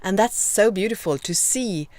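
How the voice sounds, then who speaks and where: A woman in her fifties with a Swedish accent, hosting a live video Q&A and gently encouraging her viewers. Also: mid-range voice, animated. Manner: gentle, encouraging, slow and reflective with many pauses. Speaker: host.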